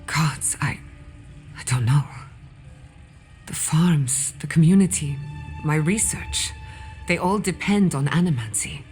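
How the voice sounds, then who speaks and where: A low-pitched woman's voice plays an earnest animancer researcher in a fantasy game. She is scholarly and resolute, with music playing underneath. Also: lively intonation, slow, pauses often, a little mellow. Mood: earnest, troubled. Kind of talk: video game cutscene acting.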